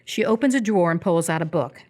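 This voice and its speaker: A woman reading scripted stage directions as the narrator of a live radio play, in a descriptive, measured manner. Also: on the low side, expressive, a little mellow.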